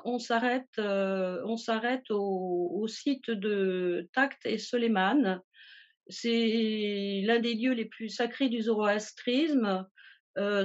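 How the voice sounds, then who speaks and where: A woman's voice: mid-range voice, highs cut off. A woman from a travel agency presenting a tour itinerary from slides, hesitating often with fillers and restarts.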